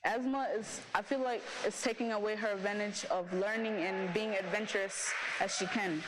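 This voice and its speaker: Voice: medium pitch, very noisy. A protester in an interview clip, speaking hesitantly and restarting phrases.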